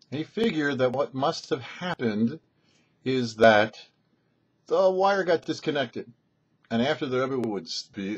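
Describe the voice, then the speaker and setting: A man telling a story as a show host, in a measured, deliberate narration with dramatic pauses. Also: medium pitch, husky. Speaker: rabbi and radio storyteller, host. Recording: dull, muffled lo-fi recording.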